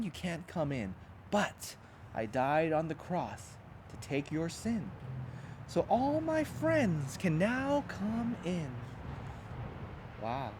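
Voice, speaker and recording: A man's voice: high-pitched, noisy background. A man reading a children's storybook aloud as a pastor, expressively and dramatically, with simple emphatic phrasing and asides to young listeners.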